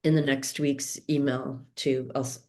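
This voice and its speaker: A woman's voice: extremely low pitch, little pitch movement, measured pace, mellow. A woman hosting an online sew-along, chatting casually on a group video call.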